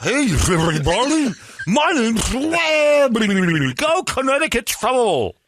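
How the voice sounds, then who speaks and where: A man voicing a goofy cartoonish sports mascot in a comic radio bit, with a squealing, drawn-out announcer delivery. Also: extremely high pitch, big pitch swings, background noise, pitched above the performer's own voice, heavily acted.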